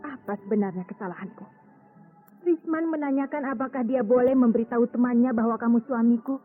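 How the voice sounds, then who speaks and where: An actress playing a proud, cool and stubborn doctor wife quarrelling in an Indonesian radio drama. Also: female voice, fairly high pitch, fairly quick, lightly acted. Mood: exasperated, cutting. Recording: tinny old radio drama, music behind.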